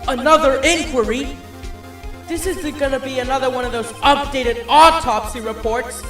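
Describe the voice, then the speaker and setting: An earnest young male lawyer, acted by a man, theatrical and easily rattled in a courtroom game dub. He speaks in measured, emphatic phrases over background music. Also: very high-pitched, fairly bright tone. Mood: flustered, worried. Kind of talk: video game fandub courtroom drama.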